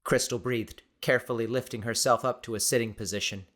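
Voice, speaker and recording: Male audiobook narrator, medium pitch, dramatic. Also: steady tone, a little fast, a bit bright. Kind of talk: dramatic scripted story narration.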